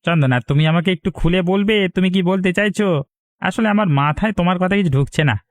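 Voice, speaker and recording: A greedy, sly cartoon villager, voiced by a man, with a high voice and quick chatter in a dry recording. The bumbling husband wheedles, repeats himself and gets flustered easily. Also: no room sound, close to an everyday voice. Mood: placating, confused. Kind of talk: Bengali cartoon voice acting.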